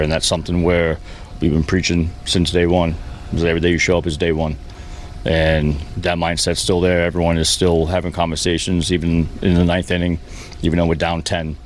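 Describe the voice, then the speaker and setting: A man who manages a baseball team answers reporters after a game in a halting, plain-spoken way. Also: low voice. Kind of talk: post-game press conference answers. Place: post-game press session with reporters. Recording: faint background noise at press session.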